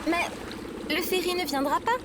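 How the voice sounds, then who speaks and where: A woman's voice: very high-pitched, steady tone, normal speed, heavy background noise. A woman playing an earnest, curious and naive female AI droid in an audio drama. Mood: questioning.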